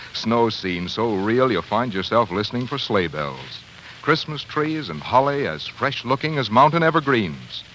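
A man's voice: mid-range voice, fairly bright tone. A man reading a sponsor message as a 1940s radio announcer, in a polished, persuasive, emphatic delivery. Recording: slightly noisy 1940s radio recording.

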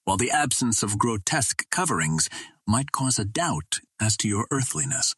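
A man's voice: fairly low pitch, big pitch swings, normal speed, fairly bright tone, slightly rough, dry recording, studio quality, exaggerated voice. A man voicing a proud, indulgent young Martian princess in an audiobook, speaking in formal, lofty sentences. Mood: indulgent, explaining.

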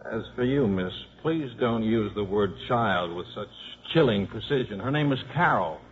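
Male actor as sardonic American washed-up coach, low voice, vintage radio drama, dull recording with light noise. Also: animated, normal speed, lightly acted. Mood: wry, guarded. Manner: wry, sardonic. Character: cynical, wisecracking ex-football coach and devoted father. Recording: muffled, noisy 1950s radio recording.

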